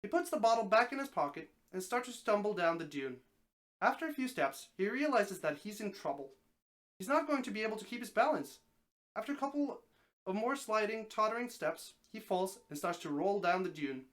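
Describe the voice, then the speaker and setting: A mid-range voice reads a story aloud in a live, dramatic style. The narration is expressive, and the reader now and then trips over a phrase and takes it again. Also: mid-range voice, fairly quick. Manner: expressive narration, occasional stumbles and repeated phrases. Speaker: streamer reading a story aloud.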